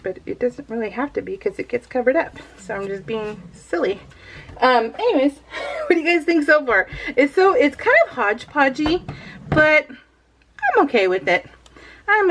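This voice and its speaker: A woman's voice: medium pitch, a bit bright, rough recording. A woman in her forties chatting casually while crafting, giggly and rambling, with frequent restarts and laughs. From California. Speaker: scrapbooking vlogger.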